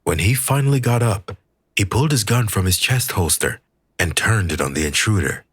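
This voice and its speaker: A man reading a scripted story aloud as an audiobook narrator, with a husky voice. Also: lowish voice, moderate pace, a bit bright, no room sound.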